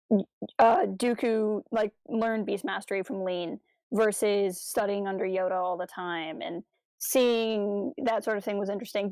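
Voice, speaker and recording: A woman co-hosting a podcast, talking casually as a fan and telling stories in an animated, slangy, enthusiastic way. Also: mid-range voice, dry recording. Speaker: podcast co-host.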